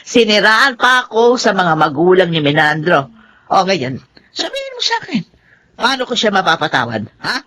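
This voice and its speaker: A woman plays a spiteful older neighbor who bickers loudly. Her voice is bright and lively, swooping high and low. The tone is a TV drama scene. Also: moderate pace. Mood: resentful. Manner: quarrelsome, loud, bickering. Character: sharp-tongued older woman, spiteful feuding neighbor (Zenaida). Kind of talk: Filipino TV drama acting.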